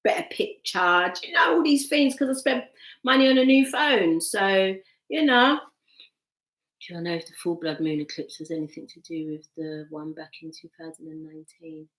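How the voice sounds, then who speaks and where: A woman's voice: on the low side, normal speed. Woman reading tarot in a roomy echo. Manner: animated, veering from excited outbursts to soft murmuring. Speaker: tarot reader. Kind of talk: live-streamed tarot reading. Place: at home. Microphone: laptop microphone. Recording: echoey room, laptop mic.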